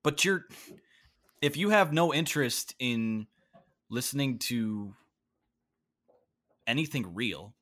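A man's voice: mid-range voice, expressive, slow pace, pauses often, a bit bright. A man hosting a sports podcast, talking bluntly and with stern emphasis. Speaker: podcast host.

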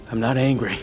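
A man playing a wounded, brooding young prince in an audio drama, bitter yet yearning, with halting, intense, formal speech. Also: mid-range voice. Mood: bitter, resigned. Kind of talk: dramatic audio fiction acting.

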